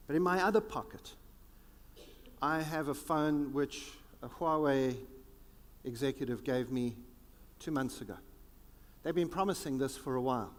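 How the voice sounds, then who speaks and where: A South African man giving a conference keynote, with dramatic pauses and a sweeping, emphatic delivery. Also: medium pitch, big-room sound. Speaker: keynote speaker. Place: large conference hall.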